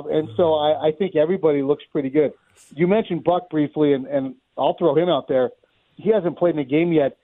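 A man's voice: on the high side, dry recording. A man giving conversational sports analysis as a baseball announcer being interviewed, wordy and repeating words as he thinks aloud. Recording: thin phone-like line.

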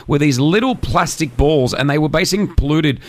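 A man's voice: fairly high pitch, animated, brisk, no echo. A man hosting a morning radio show from the studio, chatty and teasing with callers and guests. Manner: teasing, chatty, many fillers. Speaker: radio host. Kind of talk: casual radio banter.

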